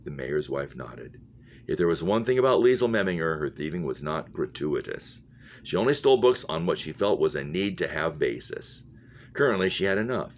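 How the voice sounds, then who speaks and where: Deep-voiced man reading aloud, muffled recording. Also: sing-song, moderate pace. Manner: stumbles over words and rereads phrases. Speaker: reader, English teacher. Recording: muffled lo-fi audio with humming noise.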